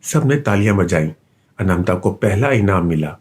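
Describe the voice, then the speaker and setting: A man narrating a scripted children's bedtime story with an expressive storytelling delivery. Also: low-pitched, moderate pace, breathy edge.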